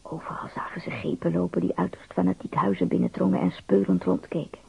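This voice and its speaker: An actor narrating an adventure tale for a radio play in a husky, creaky voice. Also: male voice, sits high, flat delivery, a little fast, whispered, exaggerated voice. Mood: measured, suspenseful. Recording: thin phone-like band-limited sound.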